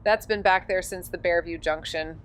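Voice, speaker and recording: A woman's voice: lowish voice, steady tone, moderate pace, clear, resonant tone, natural voice. An actress playing a composed but tense woman driver in a car chase, speaking in clipped, urgent phrases for an audio drama. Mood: tense, controlled.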